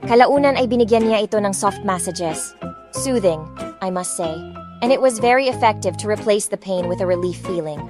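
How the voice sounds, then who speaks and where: A woman's voice: mid-range voice, moderate pace. Woman narrating a romance story over music. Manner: expressive, switching freely between Tagalog and English phrases. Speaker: audiobook narrator. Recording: music playing underneath.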